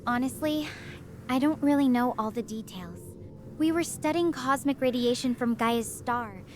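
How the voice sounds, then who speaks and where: A stranded young researcher from an interactive sci-fi story, earnest and anxious, voiced by a synthetic woman's voice. She explains things in a measured way, in a fairly high voice. Faint noise sits under the recording. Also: natural voice. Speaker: astrophysics researcher.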